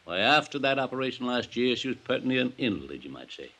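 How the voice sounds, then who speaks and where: An actor playing an earnest, uneasy farmer husband with a rural American accent, in a folksy, hesitant 1950s radio drama style. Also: male voice, medium pitch, sing-song, fast, creaky, sharp tone, exaggerated voice. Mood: grave, neighborly.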